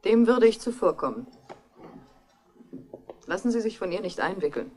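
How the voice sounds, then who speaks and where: Actress as a brittle wife with swooping intonation. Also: female voice, mid-range voice, expressive, moderate pace, darkish tone, lightly acted. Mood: brittle, bitter. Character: brittle, worldly married woman, bitter about her cold mother. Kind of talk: 1960s German radio crime drama acting.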